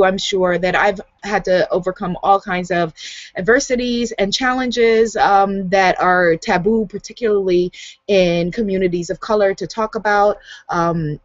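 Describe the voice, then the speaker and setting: Woman author, light Guyanese lilt, lively and chatty, dull dry webcam sound. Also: medium pitch, no echo. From United States. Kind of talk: casual talk to camera. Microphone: webcam on a video hangout. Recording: dull, dry home recording.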